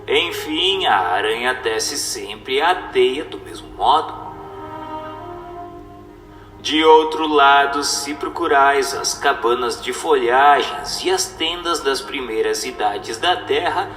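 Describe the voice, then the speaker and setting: A man playing a solemn spirit teacher in a radio drama, lecturing humankind in grave, oratorical, deliberate phrases. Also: fairly high pitch, a bit bright. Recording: echoey hall reverb, music behind.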